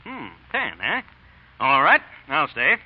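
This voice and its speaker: An American actor playing a sarcastic, scheming moneylender who blusters in old-time radio drama style. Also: male voice, on the high side, wildly swooping pitch, slow pace, slightly rough, full character voice. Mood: slick, friendly. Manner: sharp, sarcastic, indignant or slick. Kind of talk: 1930s-40s radio drama acting. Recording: dull old radio recording.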